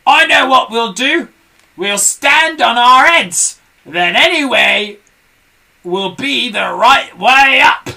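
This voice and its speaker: A woman doing a grumpy, nasty old storybook husband who blusters loudly. The voice is raised and forceful, like a bad-tempered old man. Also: fairly high pitch, lively intonation, takes it slowly, vocal fry, voice pushed up, full character voice. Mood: blustering. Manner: blustering, loud.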